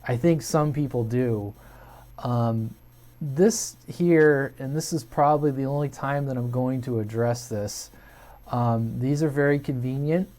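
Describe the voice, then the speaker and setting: A man's voice: medium pitch, darkish tone. An American man hosting a hobby video channel, talking casually and unscripted to viewers in a hesitant, rambling way, thinking aloud.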